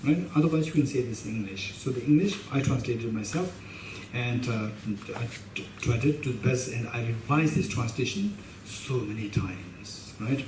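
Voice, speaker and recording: A middle-aged man with a Tibetan accent teaches a class, emphatic and gesturing, circling back to repeat his phrases. His voice sits at an ordinary pitch and is heard from a little way off the microphone. The hall is echoey, with a faint hiss underneath. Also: normal speed. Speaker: Buddhist teacher. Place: meditation hall with shrine, indoors. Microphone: stand mic in front of him. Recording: roomy hall sound, mic a bit distant, faint hiss.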